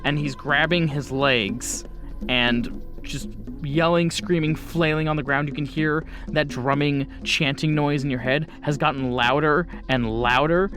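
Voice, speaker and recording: A man acting as game master, improvising horror narration in a conversational way with pauses and bursts. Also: on the high side, steady tone, music in the background.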